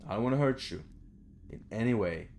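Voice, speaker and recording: A man's voice: fairly low pitch, lively intonation, a little fast, gravelly, darkish tone, a little hiss or hum. A young man doing casual horror game commentary, muttering to himself in short phrases. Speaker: gamer, let's play host. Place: small room at home.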